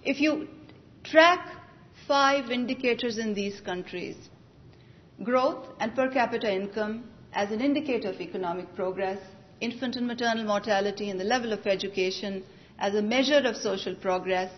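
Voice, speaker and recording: Woman, formal measured speech, conference podium, slightly dull recording, faint rumble. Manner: measured, articulate, formal delivery with occasional restarts. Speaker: conference panelist. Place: large hotel conference hall. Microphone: podium microphone, recorded from the audience.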